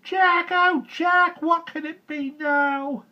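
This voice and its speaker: A man's voice: fairly even intonation, lo-fi sound. A man voicing an alarmed, exasperated mother in a storybook, crying out in a wail. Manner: high, drawn-out wailing cry.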